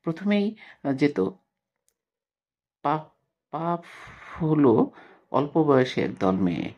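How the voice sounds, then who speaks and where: A man speaking as a teacher, reading a text aloud and explaining it with frequent hesitations and pauses. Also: fairly high pitch, very animated, lo-fi sound. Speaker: Bengali literature teacher for school pupils.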